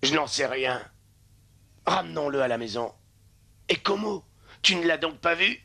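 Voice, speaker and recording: A man voicing a hopeful, determined sled-dog driver in a dubbed animated film, earnest and emotive. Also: a little high, unhurried, creaky, heavily acted. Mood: worried. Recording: light music behind.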